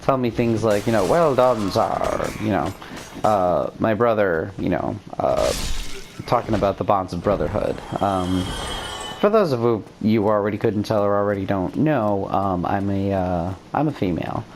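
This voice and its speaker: A woman's voice: very deep voice, normal speed, background noise. A woman hosting a gameplay video, talking in casual, rambling asides as she plays.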